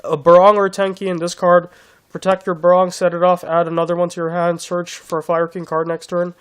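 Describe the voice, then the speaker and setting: A young man with a slightly raised pitch talks through a card game deck in a loose, casual ramble. His voice is hoarse from being sick, and he often stops and restarts his sentences. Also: monotone, a little fast. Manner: rambling, many restarts and fillers. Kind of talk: casual deck profile walkthrough.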